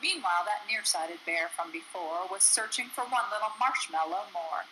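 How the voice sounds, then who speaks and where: A woman reading a rhyming children's picture book aloud in an expressive, sing-song storytelling manner. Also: mid-range voice, normal speed, a little hiss or hum, low-quality recording. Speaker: storybook reader.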